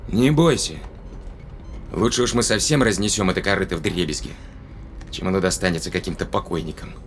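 A man's voice: low voice, fairly quick, a little hiss or hum, close to an everyday voice. An actor playing a decisive spaceship crewman who reasons aloud and gives orders in an audio drama. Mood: urgent, commanding. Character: decisive male spaceship crewman planning a counterattack.

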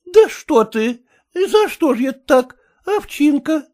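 A man's voice: very high-pitched, big pitch swings, slow, darkish tone, pitched above the performer's own voice, heavily acted. A man putting on a coaxing old auntie. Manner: coaxing, folksy. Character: nagging old woman matchmaker aunt, wheedling.

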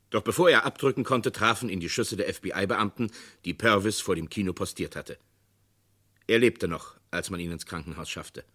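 Low-voiced man narrating an old crime play. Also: a bit bright, husky. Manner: measured, documentary tone. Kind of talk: scripted radio drama narration.

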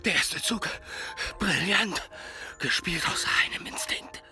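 An actor playing an obsessive, scheming villain in a German radio crime drama, gloating to himself in a breathy, fragmented mutter. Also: male voice, sits high, expressive, slow pace, pushed and bright, heavily acted. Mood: gloating, plotting. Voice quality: breathy half-whisper.

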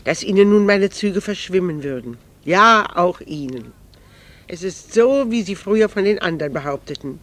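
An actress in a German radio drama playing a proud, candid, melancholy married woman, speaking in a measured, formal and earnest way. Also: lowish voice, expressive, natural voice. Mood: candid, wistful.

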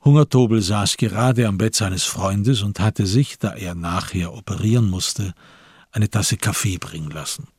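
Deep, husky male narrator. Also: darkish tone, no room sound, studio quality. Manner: measured storytelling. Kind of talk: literary scripted narration for radio play.

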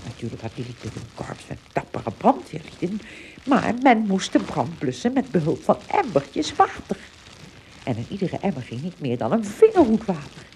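A woman with a husky voice narrating an adventure radio play as scripted storybook narration. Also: fairly low pitch, huge pitch swings, normal speed, warm tone, slight background noise.

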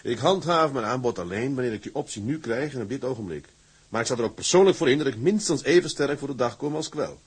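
Stubborn tugboat captain, very raspy, mid-pitched, firm, formal, terse, drama. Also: male voice, expressive, fast talker. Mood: determined. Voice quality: very husky, rough. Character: resolute, stubborn tugboat captain turned businessman.

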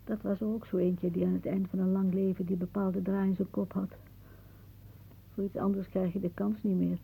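An actress playing a wry older aunt in a radio play, musing dramatically and haunted by death. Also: female voice, mid-range voice, little pitch movement, moderate pace, dark tone. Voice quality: husky. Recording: muffled, phone-like old radio recording.

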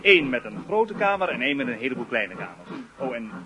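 A man's voice: mid-range voice, sing-song, a little fast, background noise, lightly acted. A man acting in a radio play as a pedantic, long-winded schoolteacher lecturing his pupils formally. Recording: chattering crowd around the voice.

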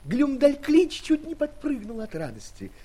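A man narrating a children's story in an expressive storybook style. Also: extremely high pitch, moderate pace. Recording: music behind the voice.

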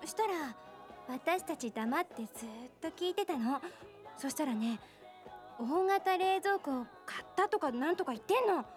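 A woman's voice: high voice, animated, moderate pace, faint music behind. An actress playing a flighty, nosy young woman in a radio drama, talking in a casual, girlish, chatty and pleading way. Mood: excited gossip. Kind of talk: radio drama acting, casual conversational Japanese.

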